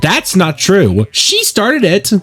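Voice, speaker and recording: A man's voice: sits high, huge pitch swings, slow, a bit bright, breathy edge, soft background music, voice pushed up, heavily acted. A man voicing a feisty, defensive teenage girl in a whiny, combative way for a visual novel game. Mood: indignant, whiny.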